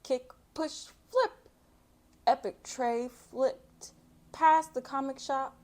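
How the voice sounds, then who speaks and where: A woman hosting a storytime, reading aloud for children in an expressive way and chatting casually between passages. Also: sits high, takes it slowly. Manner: expressive, acts out sound effects and exclamations. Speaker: storytime host reading a picture book.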